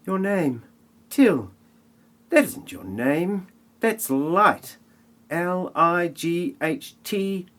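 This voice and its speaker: A man voicing an excitable, flustered, easily amazed character with exaggerated astonishment in an amateur comedy audio play. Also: high-pitched, drawn-out words, long pauses, voice pushed up, heavily acted.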